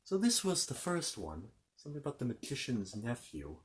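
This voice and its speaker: A man's voice: mid-range voice, wildly swooping pitch, normal speed, noisy background. A man playing a fussy, courteous storybook keeper of histories in an ASMR roleplay, hesitant and rambling as he tells his tale. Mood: fussy, musing. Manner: hesitant, rambling, apologetic, repeats himself. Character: fussy, courteous Narnian bookkeeper recounting his world's history.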